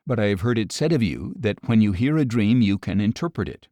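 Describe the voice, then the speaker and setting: A man reading scripture aloud as a troubled, commanding king of ancient Egypt, measured and grave. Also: lowish voice, expressive, warm tone, dry recording, studio quality, lightly acted.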